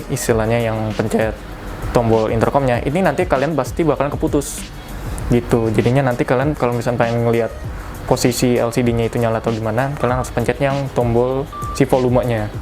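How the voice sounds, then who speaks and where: A man's voice: mid-range voice, fairly even intonation, fast talker. A young man gives a casual step-by-step tutorial in a conversational, colloquial way, often correcting himself. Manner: conversational, repeats colloquial tags and self-corrects. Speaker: product reviewer giving a tutorial. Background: music.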